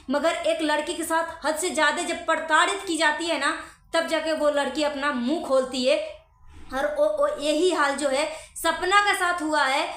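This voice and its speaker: A woman with a very high, sharp voice speaks as a vlogger to her viewers. She comments earnestly and emphatically, often repeating her points. The sound is roomy and echoing. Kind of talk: opinionated commentary addressed to viewers.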